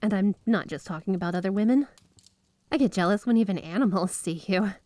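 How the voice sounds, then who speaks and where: A woman's voice: medium pitch, a little fast, darkish tone, dry recording, lightly acted. A woman playing a flirty, obsessively devoted yandere wife in an ASMR roleplay, speaking in a sweet, clingy, gushing way. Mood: jealous, playful. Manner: sweet, clingy, breathy giggles, gushing affection. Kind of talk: ASMR roleplay, addressing the listener as spouse. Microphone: close studio microphone, dry.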